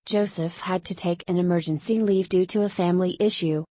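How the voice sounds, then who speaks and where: A woman playing a radio station newsreader, delivering a scripted emergency bulletin in a formal, measured way. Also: lowish voice, fairly even intonation, mellow, no room sound, studio quality, lightly acted. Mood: serious. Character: female radio station newsreader reporting on city violence. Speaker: emergency broadcast news announcer.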